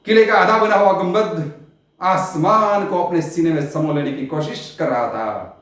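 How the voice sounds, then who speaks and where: An actor playing a world-weary, eccentric sultan in a stage monologue, grand and theatrical, with long dramatic pauses. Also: male voice, high voice, fairly bright tone. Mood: nostalgic, rapt. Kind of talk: Hindi stage play monologue, poetic Urdu diction. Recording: very echoey, reverberant room.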